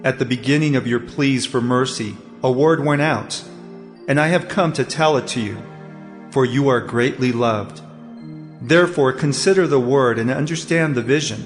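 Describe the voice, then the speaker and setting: A man's voice: mid-range voice, moderate pace, close to an everyday voice. Man voicing a solemn angel in cavernous reverb. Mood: solemn, urgent. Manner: solemn, proclamatory. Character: male angel Gabriel, solemn heavenly messenger delivering prophecy. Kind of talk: dramatized audio Bible acting. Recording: long hall reverb, faint music.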